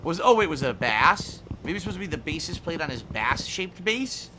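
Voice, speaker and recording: Man, game streamer, playful, stretches out words, fairly high pitch, lively intonation. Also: a little fast, background noise. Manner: playful, toys with word sounds, drawls words out. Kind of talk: casual live-stream chatter while gaming.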